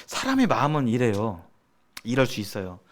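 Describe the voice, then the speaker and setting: A man's voice: medium pitch, huge pitch swings, moderate pace. A man teaches church members in a conversational, sermon-like way, explaining points and putting questions to his listeners. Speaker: church seminar speaker.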